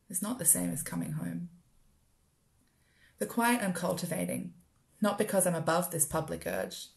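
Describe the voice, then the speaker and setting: An Australian woman reading her own literary writing aloud in a measured, deliberate, poetic way. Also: lowish voice, creaky. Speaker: writer performing own work. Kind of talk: literary spoken-word reading.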